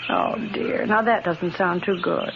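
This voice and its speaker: A woman's voice: fairly low pitch, huge pitch swings, unhurried, gravelly, full character voice. An actress playing a concerned, blunt and sceptical older woman in a radio drama, with a probing, emphatic way of talking. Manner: probing, plain-spoken, emphatic. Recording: dull, noisy vintage radio drama recording.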